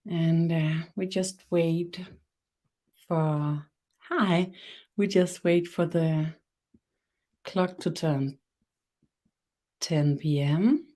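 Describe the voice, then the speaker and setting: A woman with a Danish accent, hosting a live webinar and guiding a body meditation, slow and halting with fillers. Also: sits low, steady tone. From Denmark. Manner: soft, guiding instructions. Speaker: webinar host, energy worker.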